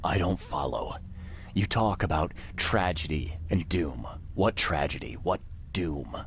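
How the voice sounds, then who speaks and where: An actor playing a world-weary private detective in a video game, with a dry, blunt, laconic noir delivery. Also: male voice, deep voice, animated, measured pace, slight background noise. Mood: probing.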